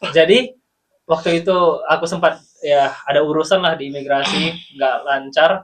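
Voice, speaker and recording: Animated Indonesian-accented man telling stories. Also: fairly high pitch, moderate pace. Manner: animated storytelling, laughs while recounting. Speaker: guest, former student in Sudan. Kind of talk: casual podcast chat.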